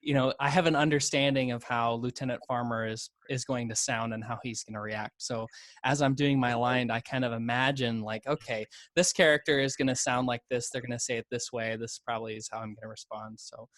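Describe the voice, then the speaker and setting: A man's voice: medium pitch, steady tone, fairly quick, fairly bright tone, dry recording. A man who voice acts, explaining his approach in casual panel chat. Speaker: amateur voice actor.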